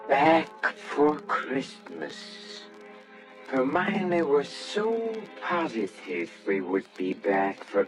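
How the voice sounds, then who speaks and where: An actor in an old-time radio drama playing a meek, secretive professor husband who speaks hesitantly and mildly. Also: male voice, mid-range voice, poor audio. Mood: brooding narration. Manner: hesitant, deliberate, mild-mannered. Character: meek, secretive henpecked middle-aged professor husband with dark streak.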